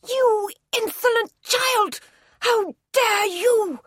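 A woman's voice: high voice, normal speed, dry recording. Woman voicing a furious strict headmistress.

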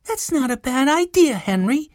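A woman's voice: high voice, sing-song, measured pace, dry recording, studio quality, voice pushed up. A woman voicing a sweet, kindly young girl in a children's story.

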